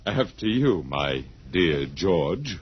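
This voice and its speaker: A man's voice: low-pitched, big pitch swings, slow pace, very noisy, exaggerated voice. An actor playing a weary, stubborn male survivor with a dry, sardonic, resigned manner, in 1950s radio drama style. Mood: dryly defiant. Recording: muffled old-time radio recording.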